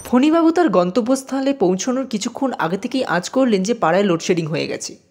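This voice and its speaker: A woman narrating a scripted audio story in an expressive storytelling manner. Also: mid-range voice.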